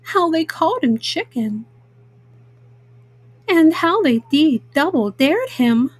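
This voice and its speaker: A woman's voice: high voice, sing-song, slow pace, no room sound, low-quality recording. A woman storyteller narrating a children's storybook.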